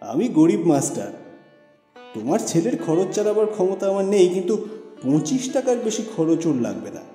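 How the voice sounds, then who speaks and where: Man acting a calm, mellow schoolteacher. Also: high-pitched, moderate pace. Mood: modest, firm. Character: calm, principled, modest male schoolteacher. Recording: echoey reverberant voice over music.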